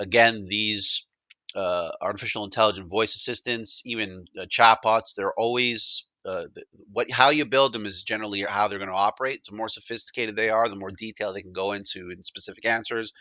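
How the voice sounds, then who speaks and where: A man with a fairly low, forceful voice presents a demo in a casual, unscripted way. He is enthusiastic and rambles, hesitating as he goes, in a dry, close sound. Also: no echo.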